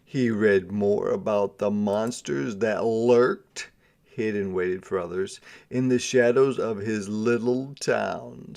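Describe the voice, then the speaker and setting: A man reading a storybook aloud to children as a teacher, moving between dramatic narration and quieter explanatory asides. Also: on the low side, creaky.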